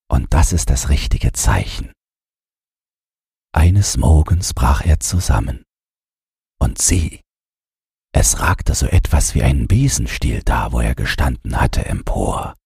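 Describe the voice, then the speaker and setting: Very deep, husky, slow-reading man. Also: a little mellow, dry recording, clean studio sound. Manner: measured, drawn-out phrasing. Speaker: audiobook reader. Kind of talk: slow bedtime storybook narration.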